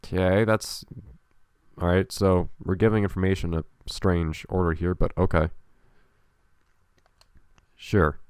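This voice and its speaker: A man's voice: deep voice, steady tone, a little slow, pauses often, a little mellow, clear, resonant tone, no echo. A man with a stuffy, congested voice giving dry, wry commentary while playing a video game. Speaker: let's play host. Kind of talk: casual gameplay commentary.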